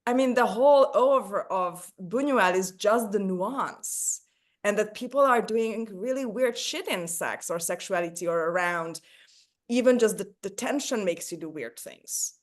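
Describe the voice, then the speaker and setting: A woman's voice: mid-range voice, unhurried. Hungarian woman, enthusiastic panel host. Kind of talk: casual panel discussion.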